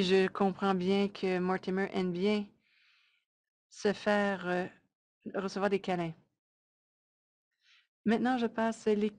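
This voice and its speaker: A woman speaks at a medium pitch. She sounds like a live interpreter relaying a webinar presentation. Her delivery is measured and a little halting, arriving in short phrases. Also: darkish tone.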